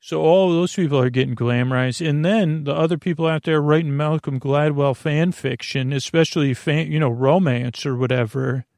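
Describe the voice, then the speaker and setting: Creaky-voiced man rambling gently off track. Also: fairly high pitch, no room sound, studio quality. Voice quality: creaky, gravelly. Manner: meandering, halting, repeats and trails off; self-deprecating. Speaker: podcast host. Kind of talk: rambling bedtime sleep-podcast monologue.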